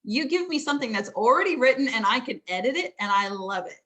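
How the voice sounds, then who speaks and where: A middle-aged woman with a fairly high voice, chatting casually about writing craft. She talks easily, laughs often and fills pauses with ums. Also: lively intonation, a bit bright. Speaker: fantasy author.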